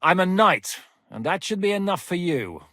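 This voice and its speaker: An actor playing a blunt, battle-hardened knight commander in a game, speaking with commanding, profane directness. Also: male voice, sits high, big pitch swings, moderate pace, pushed and bright, no room sound, studio quality, heavily acted. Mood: gruff. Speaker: game character voice lines. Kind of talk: game voice acting.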